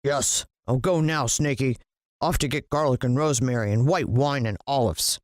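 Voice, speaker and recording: A woman's voice: a bass voice, moderate pace, no room sound, clean studio sound. A woman voicing a gluttonous, cruel bearded man in a children's storybook, blustering and demanding as he bellows and mutters. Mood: scheming, gleeful.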